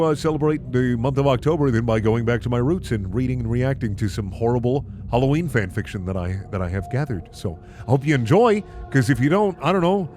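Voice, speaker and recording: Guy reading fan fiction and reacting, super animated and sarcastic, music going behind him. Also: medium pitch. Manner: mock-dramatic, sarcastic reactions. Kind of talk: comedic dramatic reading with casual commentary.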